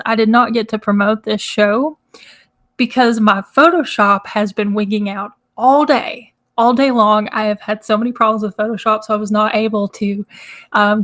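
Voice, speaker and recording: A woman hosting a casual live stream, chatty and rambling, with a hoarse, crackly, nasal voice from congestion. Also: medium pitch, a bit bright. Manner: chatty, rambling, laughs often. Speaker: host. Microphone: microphone.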